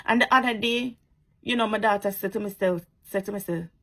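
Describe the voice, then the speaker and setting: A woman's voice: medium pitch, a little fast, a bit bright. A woman with a Jamaican accent giving impassioned, preachy commentary to her social media viewers, repeating her points and turning sarcastic.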